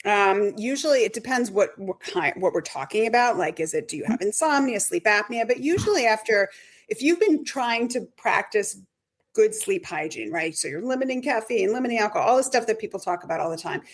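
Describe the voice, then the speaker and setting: Middle-aged woman, slightly hoarse. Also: medium pitch, lively intonation, fairly quick. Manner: talks in restarts and self-corrections. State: voice going hoarse. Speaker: sleep medicine expert guest. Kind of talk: conversational interview answers.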